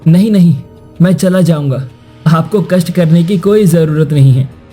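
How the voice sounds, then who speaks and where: Man acting a high-voiced, humble young woodcutter. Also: moderate pace, warm tone, faint music behind, close to an everyday voice. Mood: modest. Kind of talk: cartoon story acting.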